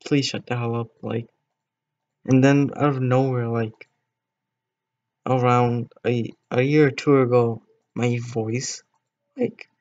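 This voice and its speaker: A man's voice: mid-range voice, fairly even intonation, slow, pauses often, a little mellow, muffled recording. A teenage boy streaming a game, chatting casually with viewers in a rambling way, voice cracking now and then. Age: teens. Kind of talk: casual gameplay stream chat.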